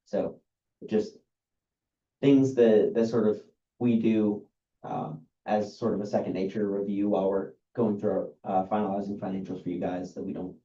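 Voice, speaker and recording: A man reads a service list aloud at a meeting in a flat, monotone delivery, stopping often on "um" and "uh." His voice is very dark and low, and the lo-fi recording has a roomy, echoey meeting-room sound. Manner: halting, many ums and uhs. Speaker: outside accountant.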